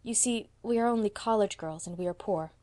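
A woman voicing a gentle, eager young woman college student. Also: mid-range voice, fairly quick, natural voice. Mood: earnest.

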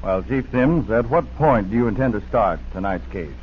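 A man's voice: on the low side, lively intonation, moderate pace. A man hosting a formal, scripted 1940s radio interview as a colonel. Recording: dull, hissy 1940s radio broadcast.

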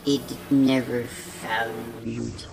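Man acting a slow, creaky, failing robot. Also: medium pitch, little pitch movement, music in the background, poor audio. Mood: weak, struggling. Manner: halting, stammering. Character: dying male robot helper, weak and halting. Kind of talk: audio drama acting.

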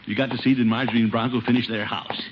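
An actor playing a blustering, needy middle-aged American neighbor in a 1950s radio comedy, exclamatory and easily flustered. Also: male voice, medium pitch, level tone, fast, muffled recording, natural voice. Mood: scheming. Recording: noisy, band-limited 1950s radio recording.

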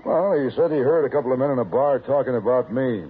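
An actor playing a weathered frontier sheriff with plain homespun speech in a 1950s radio drama. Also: male voice, medium pitch, fast, close to an everyday voice. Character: weathered frontier sheriff, middle-aged man. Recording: tinny old radio broadcast with hiss.

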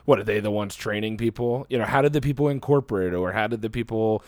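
A man with a Delaware County, Philadelphia accent, co-hosting a podcast in casual chat with a slight vocal fry. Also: lowish voice, fast talker, darkish tone, no room sound, clean studio sound. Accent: Delaware County, Philadelphia area. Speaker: podcast co-host.

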